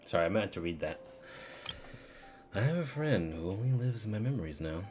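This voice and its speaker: A woman's voice: rumbling low, animated, brisk, a little mellow, soft background music. A woman game streamer chatting casually and reading out dialogue during a playthrough.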